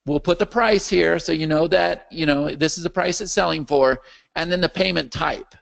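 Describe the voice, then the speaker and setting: Gravelly-voiced man hosting a live call. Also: a little high, normal speed. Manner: animated, checks in with the audience often. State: losing his voice. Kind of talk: live webinar Q&A with screen share.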